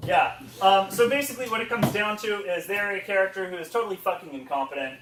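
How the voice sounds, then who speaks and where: Male comedic panel host, high voice, sweary mock-ranting, recorded far from the mic. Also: quick pace, fairly bright tone. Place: convention panel room. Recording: echoey room, far from the mic.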